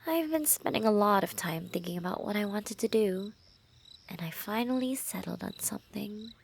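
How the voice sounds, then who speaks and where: A woman playing a lovestruck assassin in an intimate ASMR roleplay, teasing and menacing, speaking softly and often dropping into whispers. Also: medium pitch, expressive, normal speed, vocal fry, a little hiss or hum. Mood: playful, confessing.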